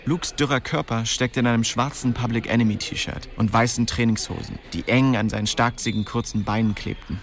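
A man's voice: medium pitch, fairly quick, hardly any pauses, noisy background, lightly acted. An actor playing a wry young man who tells the story of his small-town youth in slangy radio drama narration. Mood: wry storytelling. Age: young adult. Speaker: narrator and main character.

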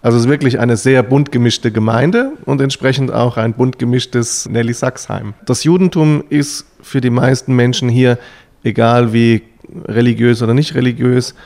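A man speaking as a rabbi, slowly and thoughtfully explaining things. His voice has a gravelly edge of fry. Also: medium pitch, fairly even intonation, a little mellow. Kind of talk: explaining to listeners.

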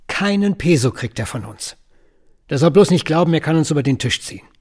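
An actor playing a domineering, unscrupulous construction boss in a radio drama, with a husky voice. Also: male voice, on the high side, lively intonation, brisk, a little mellow. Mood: gruff, dismissive.

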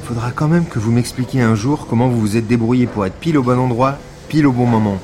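An actor playing a weary, sardonic police lieutenant in a French audio drama, dry and sometimes halting. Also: male voice, mid-range voice, noisy background, close to an everyday voice. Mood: wry. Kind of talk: French audio fiction acting.

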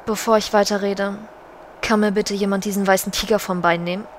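A woman's voice: medium pitch, little pitch movement, fairly quick, natural voice. A woman playing a regal, stern undead elf queen in a fantasy parody audio drama, speaking with formal, archaic courtesy. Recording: background noise.

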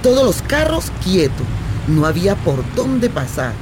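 Radio drama role: restless, warm-hearted Colombian woman traveller, playful and chatty, noisy street ambience. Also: fairly low pitch, sing-song, a little slow. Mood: excited, storytelling. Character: adventurous, restless, affectionate young Colombian woman stranded while travelling. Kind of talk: radio drama acting, conversational storytelling. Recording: remote recording with clear traffic noise.

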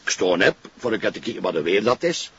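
An actor playing a chatty, nosy neighbour with a Flemish accent, rambling and halting in a television crime drama. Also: male voice, on the low side, sing-song, a bit bright, husky, muffled recording, heavily acted.

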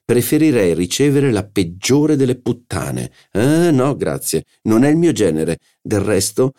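A man's voice: sits low, mellow, full character voice. A man voicing a haughty, scheming society hostess who speaks with imperious scorn in an audiobook reading. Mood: indignant.

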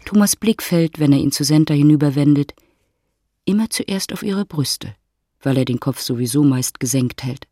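An actress as a wry, husky storyteller, narrating a literary radio drama as if reading stage directions. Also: female voice, low voice, moderate pace, dark tone, no room sound, clean studio sound. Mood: observing.

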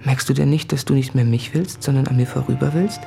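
An actor playing a guilt-ridden male writer in a radio play, confessing in an intense, introspective, articulate monologue with a husky voice. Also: medium pitch, a little fast, warm tone, soft background music, lightly acted. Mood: insistent, brooding. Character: anguished, guilt-ridden male writer addressing his fiancée. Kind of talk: literary radio play monologue from letters and diaries.

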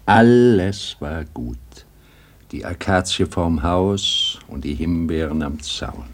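An actor playing a weary, wistful old grandfather in a radio drama, with a husky voice and a brooding, halting delivery. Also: low-pitched, animated, heavily acted.

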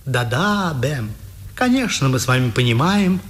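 A man acting a high-ranking, pompous Nazi official in a 1950s radio drama, measured, oratorical and insinuating. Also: very animated, a bit bright. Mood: condescending.

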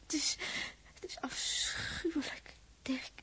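An actress playing a distressed, pleading woman in a 1960s Dutch radio play, halting and fading towards a whisper. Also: background noise, natural voice.

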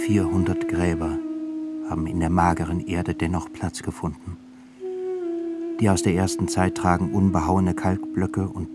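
Deep-voiced solemn male narrator. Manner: measured, solemn storytelling. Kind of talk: radio drama narration.

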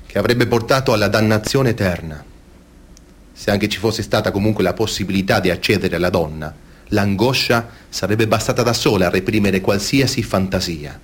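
An actor playing a male expert who explains in a deliberate, didactic and emphatic way, as in a scripted interview. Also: lowish voice. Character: measured male expert explaining clergy abuse. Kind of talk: scripted interview-style stage testimony.

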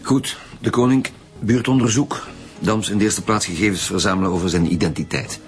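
Actor playing a gruff low-voiced Flemish chief detective. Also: male voice, a little fast, soft background music, close to an everyday voice. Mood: businesslike. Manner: curt, bossy, dry sarcasm. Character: gruff, impatient, sarcastic male chief detective. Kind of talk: radio drama acting.

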